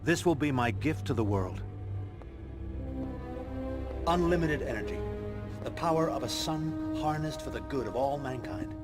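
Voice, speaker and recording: An actor playing an idealistic middle-aged scientist with a grand, visionary manner in a game cutscene. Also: male voice, medium pitch, lively intonation, a little slow, long pauses, natural voice. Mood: awed, proud. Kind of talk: scripted game cutscene dialogue. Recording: music behind the voice.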